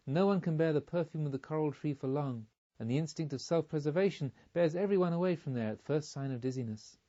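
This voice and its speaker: A man reading a literary story aloud as an audiobook narrator, in a measured, expressive storytelling manner. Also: on the high side, lo-fi sound.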